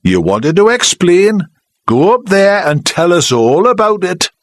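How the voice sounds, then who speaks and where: Male narrator doing a sulky, sneering village mechanic playing to the crowd, lively, dry sound. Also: medium pitch, measured pace, no room sound, pitched above the performer's own voice. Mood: sneering, taunting. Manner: mocking, loud, taunting.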